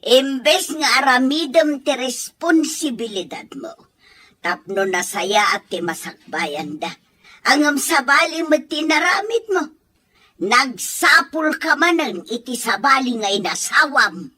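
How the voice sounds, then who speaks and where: A woman's voice: high-pitched, sing-song, a little slow, bright, forceful tone, husky, heavily acted. A woman acting an older mother of grown children in an audio drama, earnest and reproachful, with a Filipino accent. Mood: scolding, hurt. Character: older Ilocano mother scolding and advising her grown children.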